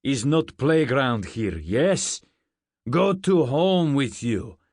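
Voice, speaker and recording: A man's voice: medium pitch, sing-song, takes it slowly, no room sound, clean studio sound, exaggerated voice. A man voicing a fat, jovial, crude Russian guard in a story, with a thick Russian accent and blunt, broken English. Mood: gruff, commanding.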